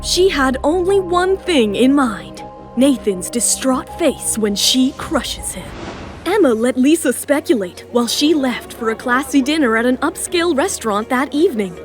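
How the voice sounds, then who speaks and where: High-pitched woman narrating a romance serial. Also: lively intonation, moderate pace. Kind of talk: dramatic scripted narration of a romance audio serial. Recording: music bed under the voice.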